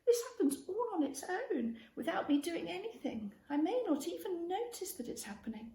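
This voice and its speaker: Woman, high voice, expressive children's read-aloud, echoey room, faint background noise. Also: normal speed. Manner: expressive, addresses child listeners with questions and prompts. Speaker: storyteller reading a children's book aloud.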